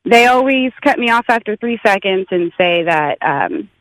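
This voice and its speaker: A woman's voice: medium pitch, lively intonation, normal speed, a bit bright, dry recording, poor audio. A woman chatting casually as a caller to a radio show. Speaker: amateur singer auditioning by phone. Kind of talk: casual chat.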